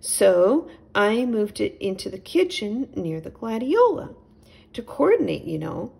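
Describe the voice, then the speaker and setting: A woman's voice: medium pitch, sing-song, a little slow, vocal fry, darkish tone, breathy edge, heavily acted. A woman voicing an anxious, fussy and very polite little male owl in a children's storybook. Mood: fretful explaining. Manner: flustered, prim, speaks in small repeated phrases.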